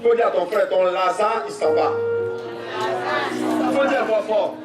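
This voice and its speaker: High-voiced Ivorian preacher in an echoey hall. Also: male voice, measured pace, over a noisy crowd. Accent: Ivorian French. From Côte d'Ivoire. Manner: storytelling, repeats phrases, rises to shouts. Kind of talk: sermon testimony. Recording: echoey, from far off, faint music.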